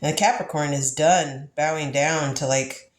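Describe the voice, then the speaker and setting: A woman's voice: low-pitched, normal speed, reverberant. A woman in her fifties, congested from the flu, musing through a tarot reading in casual spiritual talk, laughing often and repeating herself. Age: 50s. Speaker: tarot reader.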